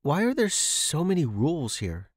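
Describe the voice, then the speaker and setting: A man's voice: fairly high pitch, huge pitch swings, normal speed, dry recording, studio quality, voice pushed up. A man voicing an earnest, wary young woman writer who speaks politely and questioningly in a narrated story.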